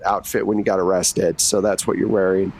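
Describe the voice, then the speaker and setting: A man's voice: low-pitched, flat delivery, a little fast, darkish tone, husky, rough recording. A middle-aged man improvising narration as the game master of a tabletop roleplaying game.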